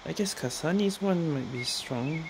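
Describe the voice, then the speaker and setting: A woman's voice: low voice, animated, unhurried, noisy background. A woman streaming a game, casually thinking aloud and muttering to herself as she plays. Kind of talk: casual gameplay commentary to self.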